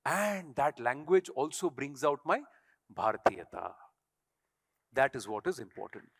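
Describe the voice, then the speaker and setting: A man's voice: mid-range voice, expressive, measured pace, vocal fry, fairly bright tone. A man with an Indian accent, a native Tamil speaker, giving an emphatic motivational stage speech full of rhetorical questions to an audience. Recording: echoey hall.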